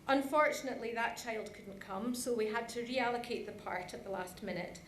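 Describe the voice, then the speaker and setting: Female presenter, fairly high voice, informal and chatty, reverberant conference hall. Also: a little fast. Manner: chatty, addresses the audience directly. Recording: echoey hall, some background noise.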